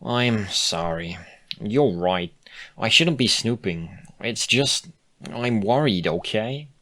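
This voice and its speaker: A man's voice: on the low side, expressive, slow pace, bright, forceful tone, pitched above the performer's own voice, exaggerated voice. A man voicing an earnest, nosy female cartoon pony who presses and pleads emphatically in a storybook reading. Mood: worried.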